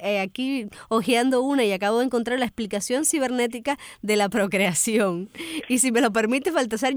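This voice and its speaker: A woman's voice: on the high side, no room sound, clean studio sound. A woman hosting a radio interview, asking the guest questions and reading a piece aloud. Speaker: radio host.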